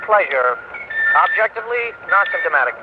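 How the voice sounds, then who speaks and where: An actor playing a young astronaut captain giving crisp, clipped reports. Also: male voice, sits high, very animated, fairly quick, loud music behind the voice. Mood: composed. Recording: tinny radio transmission with loud hiss.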